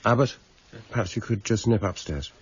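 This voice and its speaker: An actor playing a formal, sceptical police detective superintendent with a husky voice in a radio drama. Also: male voice, lowish voice, lively intonation, slight background noise. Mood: dry, sceptical. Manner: measured, formal, sceptical questioning. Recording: dull, slightly muffled radio recording.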